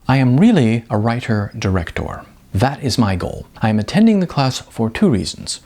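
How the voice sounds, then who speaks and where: A man's voice: medium pitch, sing-song, normal speed, a little mellow. A man voicing a shy but pretentious amateur writer-director in an audiobook, with stilted, affected, pompous phrasing. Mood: earnest, stilted.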